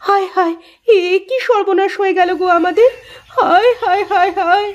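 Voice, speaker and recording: A woman's voice: very high-pitched, moderate pace, highs cut off. A woman voicing a scornful, boastful young village sister-in-law in a cartoon, taunting and accusing. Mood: wailing. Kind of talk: cartoon voice acting.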